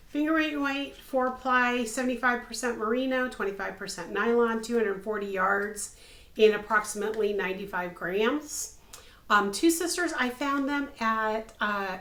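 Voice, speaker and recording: A woman in her fifties, slightly hoarse, hosting a casual craft podcast from her home craft room, upbeat and full of ums. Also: fairly high pitch, fairly bright tone. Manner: chatty and upbeat, many ums. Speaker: podcast host, crochet designer. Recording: echoey room.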